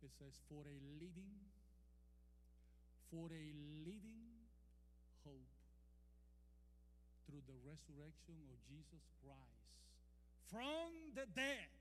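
A man's voice: sits high, sharp tone. A man from Costa Rica with a Costa Rican Spanish accent, preaching a sermon and calling for amens from the congregation. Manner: calls and responses, drawn-out emphatic scripture quotes. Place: church hall. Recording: long hall reverb, faint hum.

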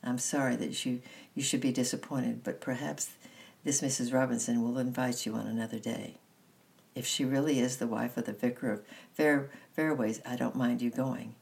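Woman reading as strict aunt, very deep, gravelly, clipped, authoritative, storybook dialogue. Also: fairly even intonation, moderate pace, darkish tone. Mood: firm. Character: strict, cool adult aunt in an English storybook.

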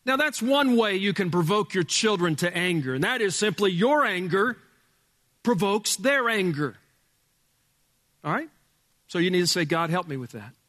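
A male pastor with a loud voice preaching a sermon in a church, emphatic, dropping from booming to hushed. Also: lively intonation, normal speed, pauses often, long reverb tail. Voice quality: loud speaking voice. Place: church sanctuary with sound board.

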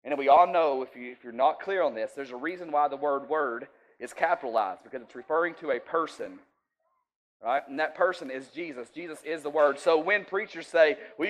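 A man's voice: a little high, poor audio. A man preaching an informal sermon in a folksy, conversational way, checking in with his listeners often. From rural US.

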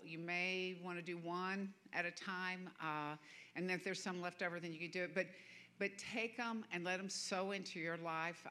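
A woman's voice: lowish voice, pushed and bright. A woman from the American South with a Southern accent, preaching as a guest minister in a church, chatty and self-deprecating with frequent laughter. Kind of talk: sermon. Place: church sanctuary.

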